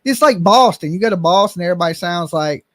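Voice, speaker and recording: A man's voice: high-pitched, expressive, fast, a bit bright, no echo, clean studio sound. A man hosting a casual chat, blunt and opinionated, swearing now and then.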